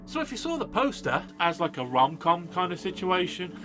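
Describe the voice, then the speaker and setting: Guy with highish voice narrating a jokey movie review, sarcastic, swears a lot, music behind. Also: big pitch swings, moderate pace, sharp tone. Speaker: film review video host. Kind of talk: scripted comedic film review narration.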